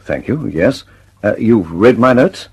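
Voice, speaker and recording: A man's voice: fairly low pitch, expressive, measured pace, darkish tone, breathy edge, full character voice. An actor playing an earnest, impatient British male inventor in a radio drama, speaking emphatically and precisely. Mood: polite, pressing.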